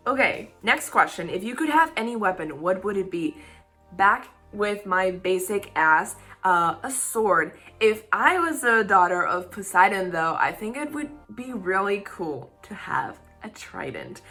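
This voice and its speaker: A woman's voice: medium pitch, very animated, a little slow, pushed and bright, faint music behind. A young woman from Spain, a native Spanish speaker, hosting a casual Q&A vlog in English, rambling and laughing often. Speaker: YouTube channel host.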